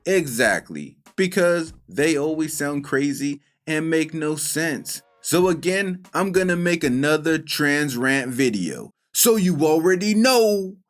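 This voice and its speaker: A man's voice: fairly high pitch, animated, slow, hardly any pauses, soft background music. A man hosting a reaction video, commenting bluntly and sarcastically on clips.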